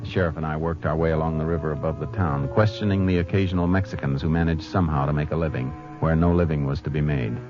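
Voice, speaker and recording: Low-voiced texas ranger, terse and plain, muffled old radio show sound. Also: male voice, fairly even intonation, fast talker, few pauses, over music. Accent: American. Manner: clipped, matter-of-fact delivery. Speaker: lead actor and narrator. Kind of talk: 1950s radio drama acting.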